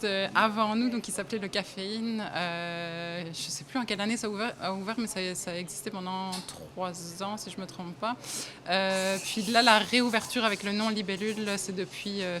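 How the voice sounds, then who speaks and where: A woman's voice: medium pitch, steady tone, normal speed, a bit bright, a little hiss or hum. A young woman from Belgium, a café owner, talking casually in an interview with frequent hesitations and small laughs. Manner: hesitant, many fillers, laughs often. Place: café, indoors.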